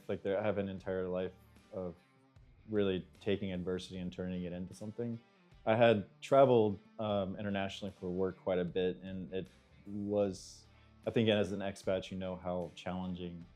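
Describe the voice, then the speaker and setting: An American man, an engineer, answering interview questions in a thoughtful, halting way and now and then clearing his throat. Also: low-pitched, dark tone. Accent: American English. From United States.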